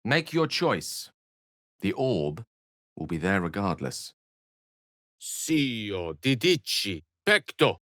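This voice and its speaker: An actor playing a learned, wry wizard adventurer in a video game, with a British accent and an eloquent, theatrical way of talking. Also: male voice, on the low side, very animated, takes it slowly, pauses often, fairly bright tone, no room sound, clean studio sound, full character voice. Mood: earnest, grave. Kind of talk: video game character voice acting.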